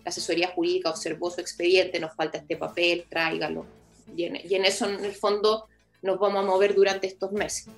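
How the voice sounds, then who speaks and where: A woman's voice: on the low side, little pitch movement, a little fast, vocal fry. Chilean woman explaining over faint music. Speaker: municipal project official being interviewed. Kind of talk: informal explanatory radio interview.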